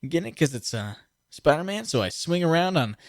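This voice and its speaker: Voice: mid-range voice, sing-song, a little fast, no echo, clean studio sound. A channel host giving a casual outro in a jokey, self-effacing, hesitant manner, playing at being a superhero.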